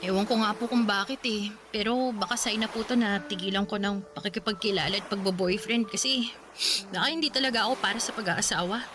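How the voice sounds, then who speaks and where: A woman's voice: mid-range voice, fairly even intonation, brisk, background noise, lightly acted. A woman with a Filipino accent playing a stubborn, exasperated, heartbroken young woman in a Tagalog radio drama. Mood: resigned, exasperated. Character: heartbroken, stubborn, sassy grown daughter in late twenties.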